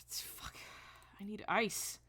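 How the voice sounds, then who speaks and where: A woman's voice: extremely slow, sharp tone, in a whisper. A woman game streamer giving casual live commentary, muttering to herself and exclaiming at the game, tired from a long session.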